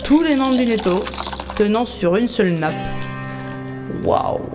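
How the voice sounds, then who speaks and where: A woman's voice: fairly high pitch, animated, measured pace. A woman acting a sarcastic, defensive office worker in an amateur audio comedy, speaking casually. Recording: music behind the voice.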